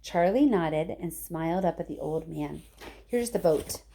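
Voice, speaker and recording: A woman reading a children's book aloud in an expressive storytelling style. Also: fairly low pitch, unhurried, creaky, a little hiss or hum. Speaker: storybook reader, teacher.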